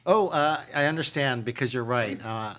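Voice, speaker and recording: A man co-hosting a casual movie review podcast, giving his take in an easygoing, measured way with a few fillers. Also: medium pitch, pushed and bright. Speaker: podcast co-host.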